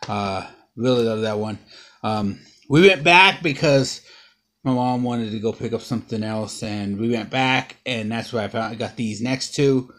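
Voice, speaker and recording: A man in his thirties, bruised and sore after a fall, rambling through a casual haul vlog at home with plenty of ums and uhs, recorded by a close low-angle camera. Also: a little slow, fairly bright tone. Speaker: vlogger. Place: living room at home.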